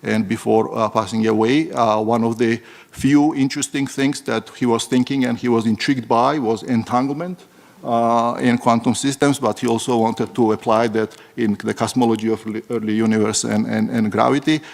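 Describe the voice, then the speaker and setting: A man speaking on a conference panel about his foundation, halting, with many uhs and repeated words. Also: mid-range voice, fairly even intonation, creaky. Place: large conference hall.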